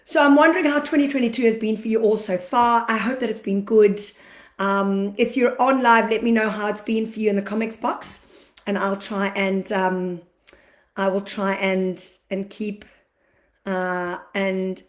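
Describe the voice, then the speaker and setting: A chatty woman hosts a livestream from a roomy, echoing space, her voice a touch croaky. She greets viewers by name and talks through her plans at an easy, unhurried pace, with plenty of fillers. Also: mid-range voice, steady tone. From Australia. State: slightly croaky from allergies, clears throat. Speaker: acupuncturist hosting a live Q&A. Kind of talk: casual livestream talk. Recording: echoey room livestream.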